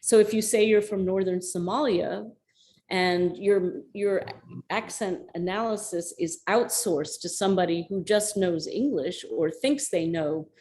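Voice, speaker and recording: A woman's voice: lowish voice, unhurried, a little mellow. Woman answering a question on a video call. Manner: thoughtful, searching, with restarts. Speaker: guest lecturer. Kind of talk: academic lecture Q&A answer.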